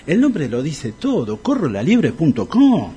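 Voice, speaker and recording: An actor playing a self-pitying, debt-ridden man pining for his ex-wife in a comic radio drama, with a husky Argentine Rioplatense accent. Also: fairly high pitch, wildly swooping pitch, measured pace, mellow, dull audio, slight background noise. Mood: indignant.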